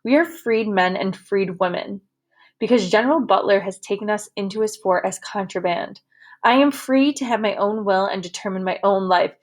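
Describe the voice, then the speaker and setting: A woman doing a calm, firm young girl. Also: mid-range voice, normal speed, natural voice. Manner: gentle, firm.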